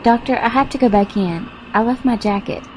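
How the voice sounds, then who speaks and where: A woman playing a questioning, soft-hearted young time-travel companion in an audio drama, speaking earnestly. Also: fairly high pitch, fairly quick, noisy background, natural voice.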